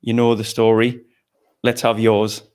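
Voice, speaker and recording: A man's voice: lowish voice, monotone, a little slow, a little mellow, smooth. A man hosting a live online showcase, interviewing guests in an informal, encouraging and teasing way, often rephrasing his questions. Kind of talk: informal, upbeat event interview.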